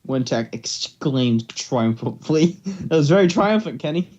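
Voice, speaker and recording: A man's voice: on the high side, animated, a little slow, a little mellow. Male amateur narrator, dull lo-fi sound. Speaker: narrator and host. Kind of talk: amateur story narration with casual banter.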